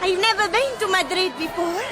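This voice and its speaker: An actress playing a young, eager, polite officer's wife in a radio drama. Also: female voice, extremely high pitch, a little fast, crowd noise. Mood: eager, excited.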